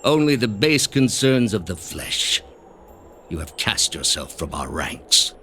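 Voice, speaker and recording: An actor playing a cold, pompous male grandmaster of an ancient zealous order in a video game, speaking deliberately and with condescension. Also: lowish voice, very animated, sharp tone, slight background noise, heavily acted. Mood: coldly condescending. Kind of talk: dramatic video game dialogue.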